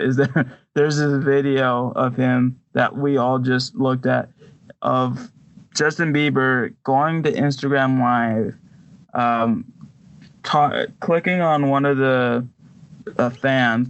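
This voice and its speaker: A man talks casually as a podcast co-host, with noticeable vocal fry in a mid-range voice. His delivery halts and stammers as he works through his opinion. The sound is dry and close. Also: mid-range voice, fairly bright tone, dry recording, lo-fi sound.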